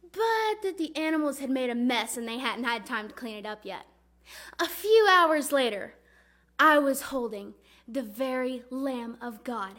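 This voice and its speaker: A woman performing a dramatic religious monologue as a grieving, devout mother recalling her son, speaking earnestly and deliberately. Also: fairly high pitch, close to an everyday voice. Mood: fond reminiscence, then tender wonder. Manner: earnest, reverent, deliberate storytelling.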